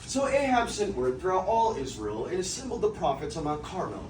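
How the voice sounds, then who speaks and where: A man's voice: high-pitched, sing-song, fairly quick, darkish tone. A young man giving a theatrical, emphatic narration of scripture, projecting his voice as in a drama. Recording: echoey room from far off, noisy.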